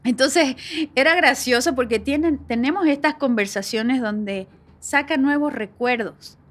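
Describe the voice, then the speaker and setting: A woman's voice: high-pitched, a little slow, a bit bright. A middle-aged woman hosting a faith-based conversational podcast, talking reflectively with many fillers. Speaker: podcast host.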